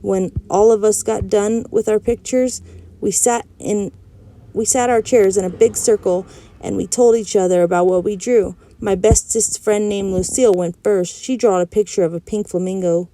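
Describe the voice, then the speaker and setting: A woman reading a children's book aloud in a clear storytelling voice. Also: medium pitch, steady tone, normal speed, warm tone. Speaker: audiobook reader.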